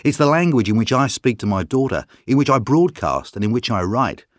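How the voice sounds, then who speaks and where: An actor playing a calm, articulate writer being interviewed in a scripted exam recording. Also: male voice, mid-range voice, fairly quick, no room sound, clean studio sound, close to an everyday voice. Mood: earnest. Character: man, calm thoughtful writer and broadcaster. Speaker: interview guest.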